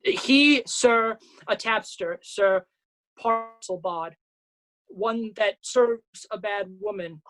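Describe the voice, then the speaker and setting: A woman's voice: mid-range voice, fairly bright tone, poor audio. Woman doing a bumbling old-england constable guy, earnest n self-important, stage shakespeare. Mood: indignant. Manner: self-important, halting malapropisms. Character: bumbling earnest male constable who muddles his words.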